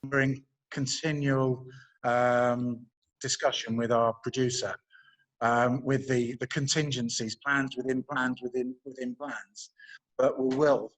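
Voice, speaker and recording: A man with a medium-pitched voice talks in a news interview. He answers off the cuff as a theatre manager, building his sentences in halting, piecemeal phrases. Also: level tone, poor audio.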